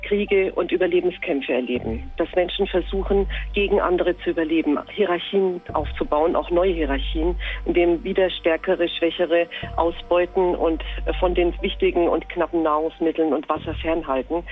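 High-voiced expert on tinny radio. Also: male voice, husky. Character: earnest, analytical expert warning of civilisation's collapse. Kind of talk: expert radio interview statement. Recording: thin radio-like band with music.

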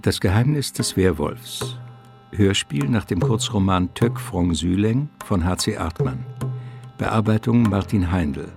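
A man narrating a scripted radio play in a measured, theatrical storytelling manner. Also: on the low side, animated, gravelly, a little mellow, faint music behind.

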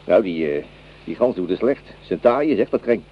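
An actor playing an earthy, gluttonous French soldier in a Dutch radio play, blunt and coarsely joking. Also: male voice, low voice, expressive, moderate pace. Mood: gruffly jovial. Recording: dull, noisy old radio play recording.

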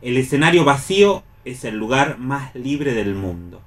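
A man's voice: medium pitch, sing-song, unhurried. A man with an Argentine accent explaining things expressively in a radio discussion, sometimes reading aloud.

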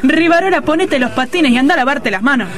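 A woman's voice: high-pitched, animated, fast. An actress playing a bossy, exasperated wife and mother in a comic radio play, speaking emphatically with a Rioplatense accent. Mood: scolding. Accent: Argentine Rioplatense. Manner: scolding, emphatic.

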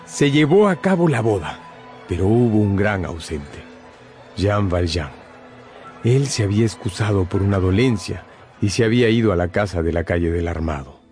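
A man's voice: on the low side, expressive, moderate pace, darkish tone. A man narrating a scripted radio drama as a dramatic storyteller. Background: prominent music.

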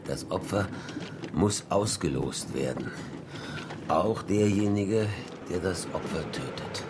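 An actor playing a starving shipwrecked man in a radio horror drama, grimly resolved yet soft-hearted, talking in a halting, urgent, persuasive way. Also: sits low, lively intonation, noisy background.

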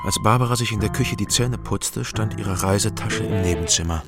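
An actor playing a wary middle-aged East German private detective in a radio crime drama, terse and matter-of-fact. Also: male voice, lowish voice, normal speed, over loud music, lightly acted. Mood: sober, reflective. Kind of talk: radio crime drama with first-person narration.

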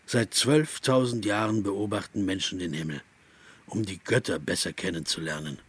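A man's voice: deep voice, normal speed, breathy edge. A male actor playing a sly, mocking tempter in a literary radio drama, coolly insinuating and taunting.

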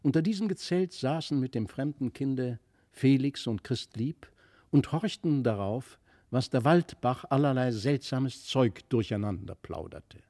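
A man's voice: medium pitch, unhurried, dark tone, no echo. A man narrating a literary fairy tale expressively from a script, close to a studio microphone.

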